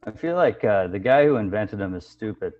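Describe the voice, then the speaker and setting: A man doing a stand-up set of deadpan one-liners over a video call, with hesitant fillers between jokes. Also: fairly low pitch, fairly quick, narrow, tinny audio. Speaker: stand-up comedian.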